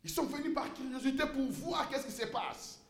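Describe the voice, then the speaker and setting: A male pastor preaching a sermon in a church, impassioned, rising to shouts and stumbling over repeated words. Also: extremely high pitch, moderate pace, creaky, reverberant.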